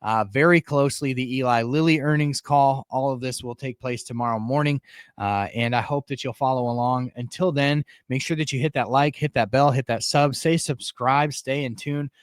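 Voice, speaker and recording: A man presents news commentary as a show host, in a medium-pitched voice. He talks conversationally and earnestly, restating points for emphasis. The sound is dry, with very little room in it. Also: normal speed, smooth, no room sound. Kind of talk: informal news commentary to camera.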